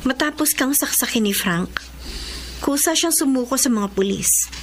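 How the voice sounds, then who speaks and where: A woman plays a young girlfriend in a radio-style drama, firm and reproachful. Her voice sits high and moves widely in pitch, with faint noise behind it. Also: lively intonation, normal speed. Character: stern, disappointed young girlfriend breaking off the relationship.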